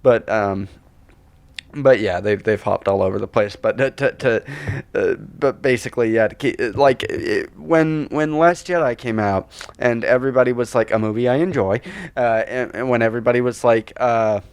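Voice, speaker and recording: A man with a medium-pitched voice talks casually as a podcast co-host. He rambles through strong opinions in an exasperated way. He often slips into mock quotes to act out what others say. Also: moderate pace, clean tone. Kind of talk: casual, opinionated film chat.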